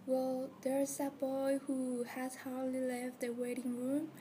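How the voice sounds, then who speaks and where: A young woman in an amateur student drama playing a gentle nurse talking softly to her patient. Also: high voice, completely flat delivery, takes it slowly. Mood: caring, friendly. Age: teenager or young adult, high school student. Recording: amateur recording with faint background noise.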